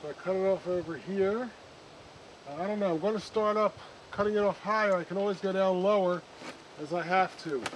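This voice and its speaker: A man hosting an unscripted hands-on plant demonstration, speaking haltingly and thinking aloud as he works. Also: sits high, slight background noise, low-quality recording. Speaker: bonsai hobbyist host.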